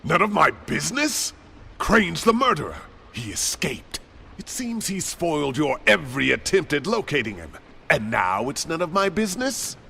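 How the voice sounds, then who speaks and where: A haughty, sneering rich man from a video game, with a sharp, forceful voice that grates with creak. He is sarcastic and bullying, his intonation very animated. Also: a little high, normal speed, slight background noise, heavily acted. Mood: indignant. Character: arrogant, sneering wealthy man, bullying and impatient.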